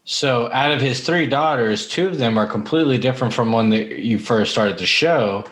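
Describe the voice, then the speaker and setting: A man's voice: medium pitch, normal speed. A man chatting casually on a podcast, informal and prone to swearing. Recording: echoey, roomy sound.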